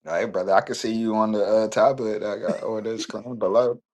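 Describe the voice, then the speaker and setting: A man's voice: lowish voice, steady tone, moderate pace, darkish tone. A man co-hosting a casual podcast, laid-back and slangy, laughing easily. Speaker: podcast co-host.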